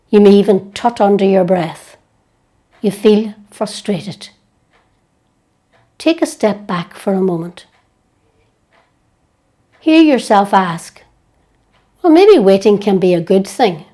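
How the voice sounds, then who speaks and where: Woman doing a guided prayer meditation, really slow and relaxed, hushed and gentle. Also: medium pitch. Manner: gentle, unhurried, hushed delivery with long pauses.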